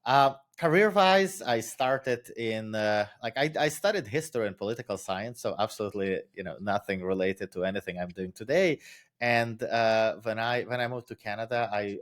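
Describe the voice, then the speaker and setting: Thoughtful man with a Ukrainian accent. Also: on the low side. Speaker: guest, author and startup founder. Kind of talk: casual podcast interview.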